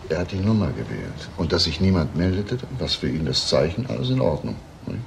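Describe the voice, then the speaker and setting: An actor playing a shrewd police inspector in a crime drama, talking in a probing, conversational way. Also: male voice, sits low, very animated, brisk, creaky, a little hiss or hum, full character voice. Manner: conversational, probing, tag questions. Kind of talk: German crime drama acting.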